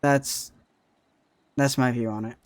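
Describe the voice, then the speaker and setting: A man's voice: mid-range voice, fairly even intonation, slow pace. A young man, a content creator, chatting casually about his future, rambling and often repeating himself with "right?". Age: young adult. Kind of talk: casual chat.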